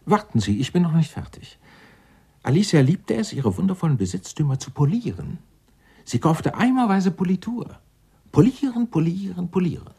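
A man's voice: a little high, sing-song, normal speed, mellow, husky, exaggerated voice. An actor playing an eccentric, indignant, self-righteous clerk in his sixties in a radio drama, speaking in a florid, pompous, theatrical way. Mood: theatrical, sardonic. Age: 60s. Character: eccentric, indignant 64-year-old Dutch tax-law clerk, self-righteous. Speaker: murder suspect being questioned.

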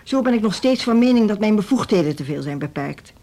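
Casting: proud middle-aged female director, played by an actress, formal and measured, easily slighted, mid-pitched voice, radio drama. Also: animated, dark tone, lightly acted. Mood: stern, aggrieved. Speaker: music school director.

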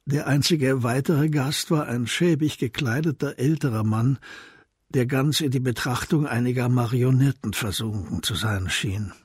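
An actor narrating a detective radio drama in a composed, scripted storytelling style. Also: male voice, mid-range voice, moderate pace, breathy edge, dry recording, studio quality, natural voice.

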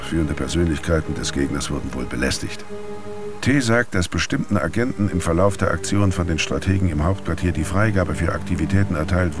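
Deep-voiced agent filing a report. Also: male voice. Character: male agent filing a grave intelligence report. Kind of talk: scripted radio drama report.

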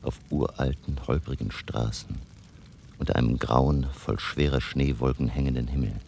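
Very deep, gravelly old vampire hunter. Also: male voice, steady tone, darkish tone, slight background noise. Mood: solemn, weary. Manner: solemn, measured, dramatic. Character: grave elderly vampire hunter recounting his journey in a diary. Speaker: narrator and actor. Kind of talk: German radio drama, diary narration.

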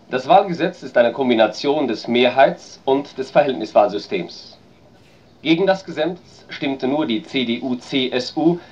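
Mid-pitched man, muffled news report. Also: darkish tone. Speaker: news reader.

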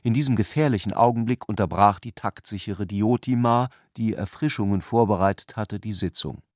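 A man's voice: lowish voice, normal speed, dry recording, clean studio sound. A man reading literary narration as the narrator of a radio play.

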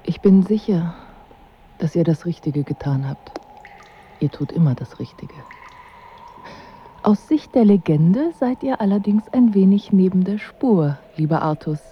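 An actress playing a languid, coolly ironic royal wife in a radio drama, with dry and formal diction. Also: female voice, lowish voice, lively intonation, unhurried, pauses often, lo-fi sound, slight background noise. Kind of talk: literary radio drama acting.

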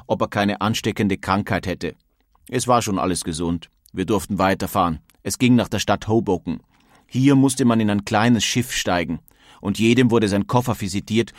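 A man's voice: on the low side, no echo, clean studio sound, close to an everyday voice. An actor playing a nineteenth-century male emigrant, reading his letter home in a wondering, narrative way. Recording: music faintly underneath.

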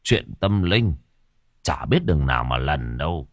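A man's voice: very deep voice, very animated, measured pace, no echo, clean studio sound, voice pushed down, heavily acted. A man voicing a brooding, superstitious boatman, grave and resigned, in an audio story.

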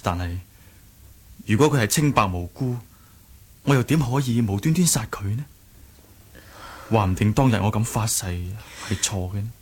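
A young martial-arts hero in a Cantonese radio drama, with a low, husky voice and Hong Kong accent. He is earnest and devoted to his fiancée, defending himself in emphatic, pleading phrases. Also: expressive, moderate pace, pauses often, a little mellow. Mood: earnest, resolute.

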